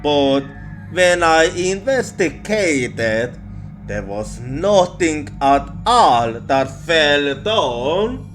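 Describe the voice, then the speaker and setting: A man telling a ghost story in an ASMR roleplay style, halting and hesitant, often repeating himself. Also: fairly high pitch, very animated, fairly bright tone. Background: music.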